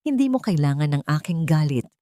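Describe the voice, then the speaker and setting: A woman voicing a wronged mother in an audiobook drama, gentle, grave and resolute, with soft, measured, earnest speech. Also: low voice, sing-song, no echo, clean studio sound. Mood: grave reproach.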